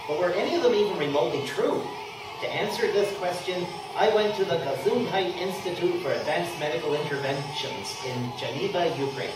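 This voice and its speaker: A man's voice: sits high, lively intonation, fairly quick, over music. A man playing a naive, earnest young reporter narrating a mock documentary radio play. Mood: mock-serious. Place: convention room, live stage performance. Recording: very echoey, noisy live room, distant mic.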